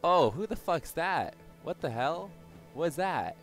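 A young man with a slightly raspy, hoarse voice giving casual let's-play commentary, swearing and mocking himself as he plays. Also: fairly high pitch, expressive, unhurried, soft background music. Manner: swears and exclaims at the game, self-mocking. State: hoarse from yelling. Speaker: gaming channel host.

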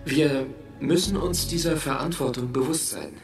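A man's voice: on the high side, normal speed, natural voice. An actor playing an earnest businessman delivering a formal, solemn, declamatory speech in a radio play. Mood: solemn, proud. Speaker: company executive. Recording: echoey hall, distant, music behind.

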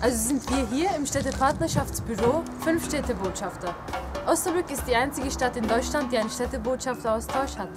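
Woman, 20s, high voice, careful, loud background music. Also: lively intonation, fairly quick. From Turkey. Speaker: city ambassador. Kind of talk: scripted self-introduction. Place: outdoors in a city square.

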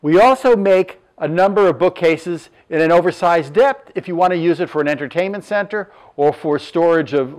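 A man in his sixties from Southern California, a furniture business owner walking through his showroom and plainly listing sizes and features. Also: fairly high pitch. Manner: plain, matter-of-fact, lists measurements with fillers. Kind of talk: product presentation.

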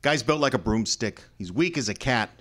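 A man performs a hard-boiled suspect from a radio crime play. He is cocky and brusque, tossing out slang defensively. His medium-pitched voice moves up and down with lots of animation. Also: animated, moderate pace, a bit bright. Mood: scornful, accusing. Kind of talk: old-time radio crime drama acting.

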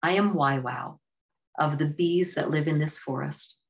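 A woman voicing a calm, wise male bee who guides a weary traveler, speaking in a patient, measured way. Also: deep voice. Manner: patient, measured, gently coaching.

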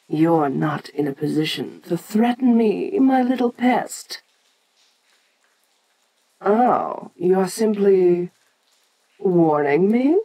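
Woman voicing giant female monster, imperious, curious, formal, deliberate slow delivery, soft-edged mellow tone, audio roleplay. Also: mid-range voice, full character voice, rough recording. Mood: haughty, challenging. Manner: deliberate, formal, condescending, musing. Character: imperious ancient female kaiju giantess, haughty, curious, domineering. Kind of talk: audio roleplay addressed to the listener.

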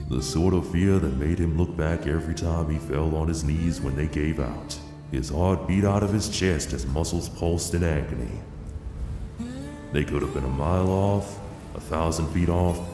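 A man's voice: a bass voice, normal speed. A man narrating an audio drama as a grave, solemn storyteller of a western tale. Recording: echoey long reverb under loud music. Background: music.

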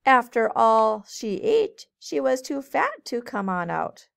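A woman teacher reading a storybook aloud to children in a measured, sing-song way. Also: a little high, wildly swooping pitch, gravelly. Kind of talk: storybook read-aloud narration.

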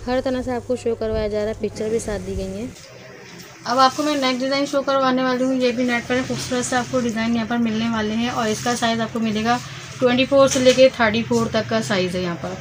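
Woman, Pakistani accent, highish pitch, informal product showcase, English words mixed in, faint noise behind, indoor shop. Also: fairly even intonation, brisk. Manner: repetitive sales patter mixing in English words. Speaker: shop presenter showing wholesale stock. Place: indoor garment shop packed with fabric. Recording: clear background noise, handheld phone sound.

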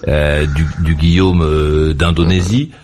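Radio host, male, very low voice, rambling and probing interviewer. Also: steady tone, slow pace, darkish tone.

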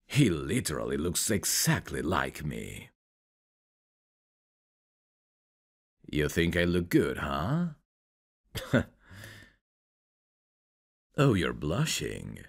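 Guy playing a teasing flirty dude for asmr roleplay, slow drawn out talk, gravelly n husky, intimate. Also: very deep voice, full character voice.

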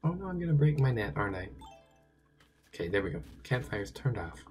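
A man with a hoarse, sore-throated voice giving casual, chatty commentary while playing a video game, talking to himself and to the game. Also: lowish voice, very animated, brisk, darkish tone, soft background music. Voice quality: gravelly, raspy. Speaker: let's play gamer.

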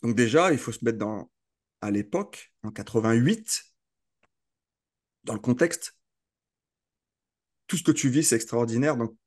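A man's voice: medium pitch, expressive, a little slow, pauses often, darkish tone. A man hosting a casual interview, often restarting and repeating words mid-sentence. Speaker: host.

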